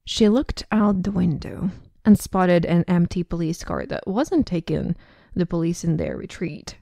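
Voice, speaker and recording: A woman's voice: fairly low pitch, big pitch swings, measured pace, creaky, dark tone, no room sound. A woman narrating a scripted story for an amateur audio drama.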